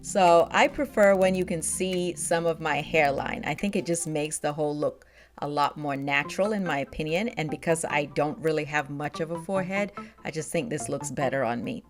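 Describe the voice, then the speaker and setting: A woman's voice: low-pitched, normal speed, faint music behind. A young woman who grew up in Nigeria and the United States, chatting upbeat and laughing often as she reviews a product for her vlog. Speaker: beauty vlogger.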